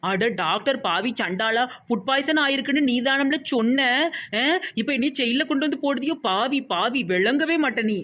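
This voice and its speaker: A woman's voice: high-pitched, animated, moderate pace, a bit bright. A woman playing a whiny, scheming young village wife in a comic cartoon, wailing and pleading in Tirunelveli Tamil. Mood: indignant.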